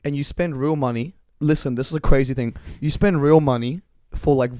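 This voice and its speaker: A man with a slightly raspy voice, co-hosting a podcast, rambling casually and laughing often. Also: medium pitch, a little fast, dark tone, no echo, clean studio sound. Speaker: podcast co-host.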